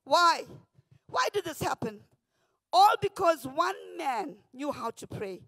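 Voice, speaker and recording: A woman pastor with a South African accent, preaching a morning devotion from a church pulpit into a handheld mic, often shouting, then dropping to a hush. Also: extremely high pitch, very animated, slow, no echo, studio quality. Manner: fervent, often shouting, swings from loud to hushed. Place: church stage behind a pulpit. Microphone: handheld microphone.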